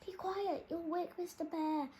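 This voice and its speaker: A woman's voice: very high-pitched, steady tone, a little slow, mellow, pitched above the performer's own voice. A woman voicing small, anxious squirrels and rabbits in a storybook, pleading and fretful. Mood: worried pleading. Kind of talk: storybook character voice. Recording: clearly noisy background.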